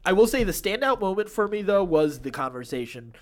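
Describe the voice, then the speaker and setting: A man's voice: high voice, big pitch swings, fairly quick. A man co-hosting a podcast, talking casually and conversationally as he discusses shows and comics. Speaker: podcast co-host. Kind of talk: casual chat about TV and comics.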